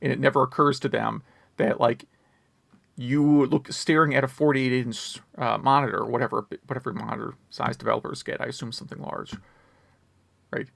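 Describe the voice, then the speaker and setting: Male podcast co-host, gravelly mid-range voice, casual, rambling, wry griping. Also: mid-range voice, fairly even intonation, normal speed, fairly bright tone, no room sound. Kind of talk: casual chat about video games.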